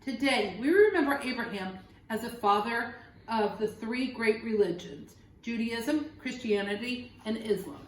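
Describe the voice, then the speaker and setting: A woman teacher reading a children's story aloud with expressive, dramatic phrasing and questions to young listeners. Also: on the high side, measured pace, roomy sound, slight background noise, rough recording.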